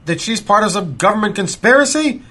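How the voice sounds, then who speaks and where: A man's voice: high voice, lively intonation, brisk, bright, forceful tone, breathy edge, heavily acted. A man playing a hot-tempered, defensive small-town sheriff in an audio drama, with a blunt, folksy manner. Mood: angry, defensive.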